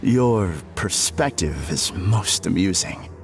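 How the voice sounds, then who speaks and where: An actor playing a serenely polite, condescending villain in a video game, speaking calmly and formally. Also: male voice, sits low, slow, heavily acted. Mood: calm condescension. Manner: calm, formal, courteous. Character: serenely polite male villain, self-proclaimed perfected human, condescending. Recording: background music under the voice.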